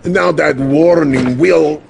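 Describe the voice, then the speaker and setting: A man's voice: a little high, slow pace, gravelly, a little mellow, heavily acted. A man playing a menacing, grandiose Soviet commander in a video game, with a Russian accent and a pompous, threatening manner. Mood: smug, menacing. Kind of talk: video game cutscene acting. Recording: noisy old game video soundtrack.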